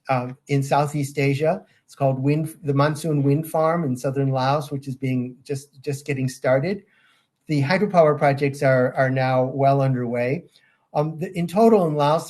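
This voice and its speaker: Older guy on a call, fairly high voice for a man, lots of ums, measured pace. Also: a little mellow. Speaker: guest, safeguards auditor. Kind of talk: interview answers.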